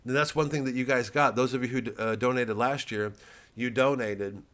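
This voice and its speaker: A man's voice: mid-range voice, steady tone, moderate pace, a bit bright. A man, a comedian, talking casually to camera in a rambling way, with stammering restarts and free swearing.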